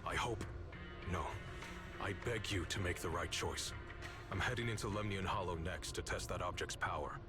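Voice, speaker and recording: An actor playing a grave, earnest swordsman in a video game, speaking in a formal, measured, solemn way. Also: deep voice, music in the background, close to an everyday voice. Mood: earnest, urgent. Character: grave, earnest older-brother swordsman with secret knowledge.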